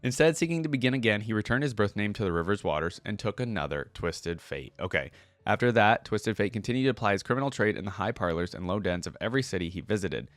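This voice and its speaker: A man's voice: on the low side, expressive, quick pace, no echo. A man hosting a casual reaction video, moving between reading aloud and informal conversational commentary. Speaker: reaction channel host.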